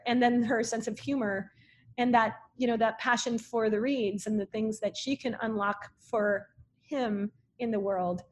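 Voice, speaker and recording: A woman film director telling stories casually in an interview, in an animated, chatty way. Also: fairly high pitch.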